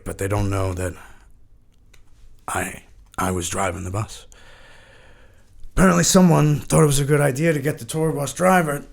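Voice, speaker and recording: A man plays a haunted, washed-up eighties rocker for a fictional podcast interview. His low voice is full of gravelly creak, and he talks in halting, profane, repetitive bursts. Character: washed-up, haunted male eighties rock frontman in his fifties. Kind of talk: mock podcast interview, naturalistic acting.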